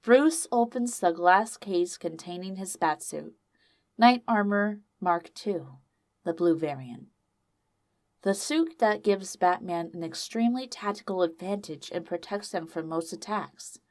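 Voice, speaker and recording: A woman's voice: lowish voice, unhurried. A woman reading scripted narration for a dramatic audio story.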